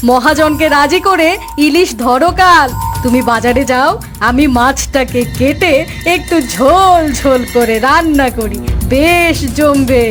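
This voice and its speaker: A woman as a high-voiced cartoon village wife. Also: measured pace, music in the background. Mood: pleased. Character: sharp-tongued village wife and mother. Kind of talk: cartoon voice acting.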